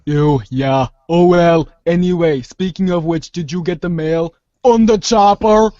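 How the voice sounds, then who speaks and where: A man's voice: a little high, unhurried, warm tone, no room sound, highs cut off, close to an everyday voice. A man playing a cocky, slangy teenage boy with a mock Austrian action-hero accent in a comic reader's theater. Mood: smug. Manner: smug, dismissive, slangy.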